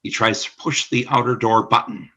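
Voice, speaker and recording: A man narrating a scripted radio play as storyteller, with a husky voice. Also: mid-range voice, fairly even intonation, moderate pace, bright, forceful tone, rough recording.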